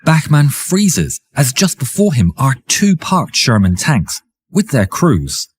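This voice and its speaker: A man reading dramatic scripted war history narration in a theatrical, suspenseful way, with a husky, raspy voice. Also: mid-range voice, sing-song, takes it slowly.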